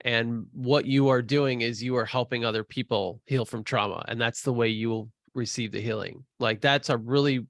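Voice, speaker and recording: A man's voice: mid-range voice, steady tone, normal speed, hardly any pauses, a bit bright, no room sound, clean studio sound. A man hosting a podcast, reflecting aloud with small hesitations. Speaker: podcast host. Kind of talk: casual interview chat.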